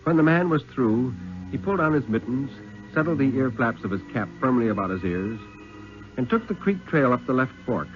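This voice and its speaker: Expressive male storyteller on muffled old radio. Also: medium pitch, soft background music. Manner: suspenseful, measured storytelling with dramatic pauses and emphasis. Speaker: narrator reading a short story aloud. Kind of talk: 1950s radio dramatic story reading. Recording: muffled, lo-fi 1950s radio recording.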